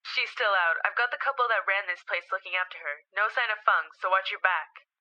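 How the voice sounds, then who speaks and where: A woman's voice: medium pitch, a little fast, bright, forceful tone. A woman playing a curt, commanding team leader in an audio drama, clipped and authoritative over a radio filter. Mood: stern, guarded. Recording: dull, band-limited radio voice.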